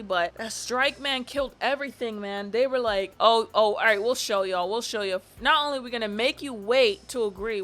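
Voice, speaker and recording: A woman giving casual, sarcastic commentary on a vlog, animated and quick to laugh. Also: a little high. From family from Barbados. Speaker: YouTube commentator.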